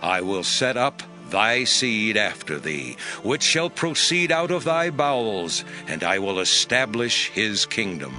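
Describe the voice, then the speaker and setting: Nathan the prophet speaks slowly and deliberately, his voice rising and falling with great expression. He sounds grave and steady in this audio drama. Clear music plays beneath his lines. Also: fairly low pitch, full character voice. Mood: solemn. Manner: slow, deliberate prophetic delivery. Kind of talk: dramatized scripture reading.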